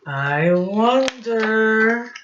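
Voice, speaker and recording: A woman's voice: a little high, lively intonation, drawn-out words, muffled recording, slight background noise. A woman speaking as a teacher in a children's read-aloud, explaining words and putting questions to her young listeners. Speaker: teacher reading storybook to children.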